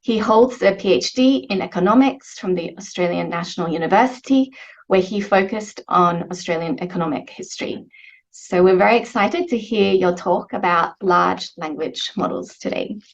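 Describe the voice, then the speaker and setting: Brief: session chair, woman with a gravelly voice, measured introduction with some fillers, dull lo-fi call audio. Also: lowish voice. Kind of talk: formal introduction. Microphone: video call.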